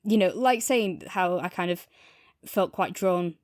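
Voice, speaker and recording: A woman's voice: lowish voice, a little slow, no room sound, studio quality. A woman chatting casually and thoughtfully as a podcast co-host in a review discussion.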